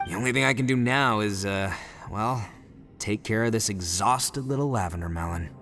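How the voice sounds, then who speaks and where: A man's voice: on the low side, moderate pace, a bit bright, soft background music. A man playing a boisterous, good-hearted young oni gang boss in a video game, brash, casual and frank. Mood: defensive, frank.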